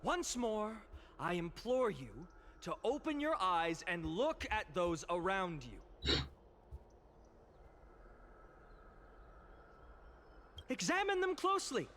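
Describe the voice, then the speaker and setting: A young orator in a video game delivers a fervent public speech. The voice is high and bright, swinging widely with emphatic, eloquent phrasing. Some ambient noise sits behind it. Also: male voice, sing-song, measured pace, full character voice. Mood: fervent, pleading. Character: impassioned young male orator addressing a citizens' assembly. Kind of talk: dramatic theatrical game voice acting, public oration.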